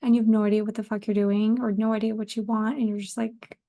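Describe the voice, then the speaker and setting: A woman's voice: medium pitch, flat delivery, quick pace, mellow. A woman in her thirties hosting a casual solo podcast, talking in an informal, rambling way. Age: 30s. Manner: informal, rambling, laughs easily, occasional swearing. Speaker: podcast host.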